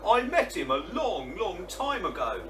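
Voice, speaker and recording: A man's voice: sits high, big pitch swings, measured pace, voice pushed up. A man voicing a big monster father in a storybook, giving dramatic, ominous warnings. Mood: cautionary. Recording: distant mic, noisy.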